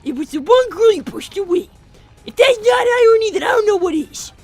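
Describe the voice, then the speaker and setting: A woman's voice: extremely high pitch, expressive, a little fast, soft background music. A woman voicing a bratty, blunt five-year-old cartoon boy who is demanding and melodramatic, in an improvised comedy livestream. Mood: taunting. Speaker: livestream co-host in character.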